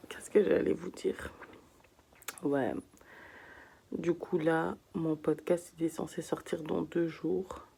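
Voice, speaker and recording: A woman's voice: low voice, little pitch movement, slow, creaky, long pauses, dark tone, slight background noise. A woman vlogging from her bed while sick and in pain, talking casually to her viewers, tired and sometimes dropping to a whisper. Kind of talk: casual vlog talk to camera. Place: at home in bed.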